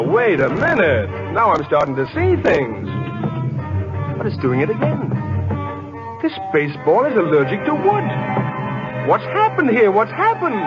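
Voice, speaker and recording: An earnest, bookish professor in an old radio play. His voice sits fairly high and moves around a lot, with careful, formal wording. The recording is dull and aged. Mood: amazed. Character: earnest, excitable male chemistry professor, bookish and mild. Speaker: actor. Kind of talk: 1940s radio acting.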